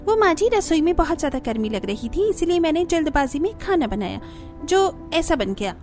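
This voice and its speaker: A woman plays a pampered young wife in an animated family story. She complains in an extremely high, whiny voice with theatrical flair. Light music runs behind her. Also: normal speed. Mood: whiny, complaining. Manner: whiny, dramatic. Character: pampered, complaining young Indian elder sister-in-law who hates heat.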